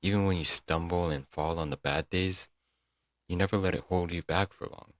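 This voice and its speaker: A man's voice: sits low, fairly even intonation, normal speed, no echo, studio quality, natural voice. A man playing a young, lovesick and clingy stalker in an intimate, earnest ASMR audio roleplay. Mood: tender, admiring. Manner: doting, earnest, dramatic with sighs and chuckles. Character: lovesick, broke young male yandere stalker-kidnapper, clingy and sweet.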